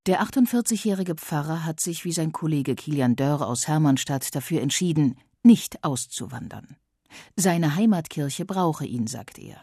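A narrator reading a scripted documentary voice-over in a measured, even way. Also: medium pitch, husky, dry recording, clean studio sound.

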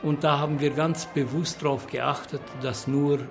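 An older retired man from Transylvania, answering an interview slowly with many fillers. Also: on the high side, level tone. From Transylvania, Romania. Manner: slow, hesitant with fillers. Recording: loud music behind.

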